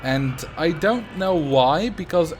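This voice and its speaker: A man's voice: a little high, lively intonation, takes it slowly, soft background music. A man from the Netherlands with a Dutch accent, casually chatting with viewers and thinking aloud while he plays a building game on a livestream. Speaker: streamer.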